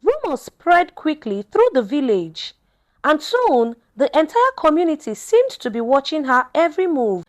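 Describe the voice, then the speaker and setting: Female storyteller, high register, very lively intonation, scripted dramatic folktale read. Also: measured pace.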